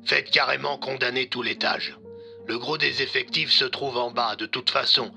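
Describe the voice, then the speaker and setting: A man's voice: medium pitch, steady tone, normal speed, vocal fry, pushed and bright, faint music behind. A man voicing a weary old male police commissioner in short, clipped phrases. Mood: weary, authoritative.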